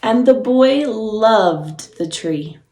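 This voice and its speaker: A woman's voice: on the high side, lively intonation, drawn-out words, mellow, roomy sound. A woman preschool teacher reading a storybook aloud to young children, encouraging and explaining things simply.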